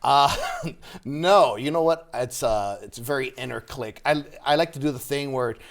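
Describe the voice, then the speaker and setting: A male stand-up comedian speaking casually on stage with a halting, self-deprecating manner. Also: mid-range voice. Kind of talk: live stand-up routine and casual interview.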